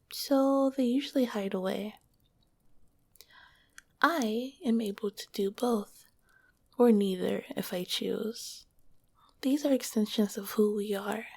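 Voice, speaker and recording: A soft, breathy whisper of a kind slime monster girl, as a woman plays her in an ASMR roleplay. The tone is mellow and dark, with a gravelly edge. She speaks gently and hesitantly, right up at the microphone. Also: medium pitch. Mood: explaining. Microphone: close microphone.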